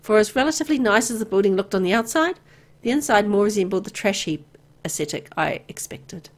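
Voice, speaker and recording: A woman's voice: medium pitch, lively intonation, brisk. A woman reading a first-person story aloud in a dramatic narrating style. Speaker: visual novel reader.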